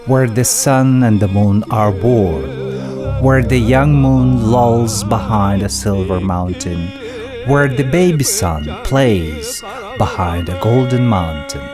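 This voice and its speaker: A man narrating a folk epic as scripted storytelling, in a measured, solemn manner. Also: on the low side. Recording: voice-over with loud music behind. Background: loud music.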